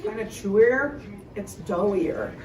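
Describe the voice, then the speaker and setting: Female guest judge, halting casual delivery, mid-range voice, distant with faint room noise. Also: medium pitch, expressive, echoey room. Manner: halting, thinking aloud. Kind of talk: casual spoken judging.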